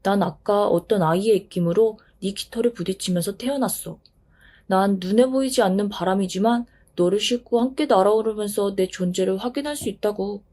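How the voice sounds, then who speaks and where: A woman's voice: medium pitch, a little fast, dark tone, close to an everyday voice. A woman voicing the wind as a playful, boastful newborn figure speaking eagerly and breezily.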